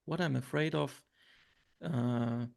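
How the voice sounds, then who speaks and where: Gravelly-voiced man from Syria, hesitant. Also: medium pitch, slow pace, a little mellow. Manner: hesitant, many fillers and restarts. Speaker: interview guest. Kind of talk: reflective interview answers.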